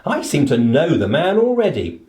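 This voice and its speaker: An actor playing a keenly observant, self-assured gentleman detective in a radio play, formal, precise and inquisitive, with a British accent. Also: a little high, wildly swooping pitch, normal speed, reverberant.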